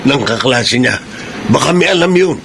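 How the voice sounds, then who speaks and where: Troubled Filipino father over loud noise. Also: medium pitch, animated, moderate pace, gravelly. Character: troubled, commanding middle-aged Filipino father. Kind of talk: Filipino radio drama acting.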